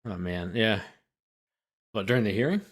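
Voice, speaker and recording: A man's voice: lowish voice, animated, moderate pace. A man hosting as a lawyer, reading a document aloud and breaking off for incredulous, sarcastic commentary. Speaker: lawyer host.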